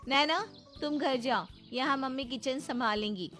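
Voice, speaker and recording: A woman's voice: high voice, moderate pace, a bit bright, faint music behind, pitched above the performer's own voice. A woman voicing a bossy, snobbish young modern daughter-in-law in a cartoon, curt and commanding.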